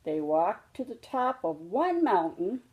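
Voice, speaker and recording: Slow, mellow woman reading a storybook. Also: mid-range voice, very animated. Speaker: storybook reader. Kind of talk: children's read-aloud narration.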